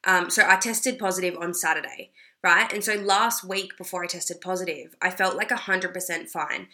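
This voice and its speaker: A young adult woman with an Australian accent, slightly hoarse from a cold, chatting loosely to camera, full of "like" and "you know". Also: fairly low pitch, sharp tone. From South Australia. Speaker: vlogger. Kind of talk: casual talk to camera.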